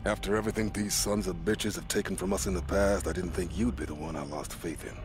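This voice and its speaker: A man's voice: low voice, fairly even intonation, quick pace, over music, natural voice. An actor playing an embittered, hardened soldier and father figure in a video game, with a grim, weighty delivery. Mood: bitter, betrayed. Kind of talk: dramatic video game cutscene acting.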